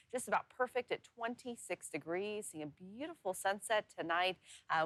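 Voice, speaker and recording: A woman's voice: fairly low pitch, fairly bright tone, no room sound. American woman presenting weather, dry studio sound. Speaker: TV meteorologist and co-host. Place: TV news studio.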